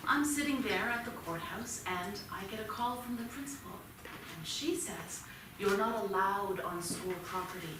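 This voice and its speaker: A woman's voice: medium pitch, lively intonation, normal speed, lightly acted. An actress playing a stern, worried mother of a teenage son in a staged play reading. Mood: tense, reproachful. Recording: echoey theatre, distant mic, clear noise.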